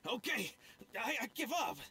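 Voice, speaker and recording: An actor playing a nervous, bumbling young apprentice thief who talks in folksy slang and stammers. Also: male voice, very high-pitched, very animated, normal speed, very noisy. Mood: flustered. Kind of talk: video game cutscene voice acting. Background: game soundtrack music.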